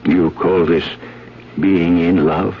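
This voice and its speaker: An actor playing a stern, fussy village priest in a 1970s radio drama, hesitant and admonishing. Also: male voice, sits low, fairly even intonation, slow pace. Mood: shocked, admonishing. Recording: dull, noisy 1970s radio broadcast.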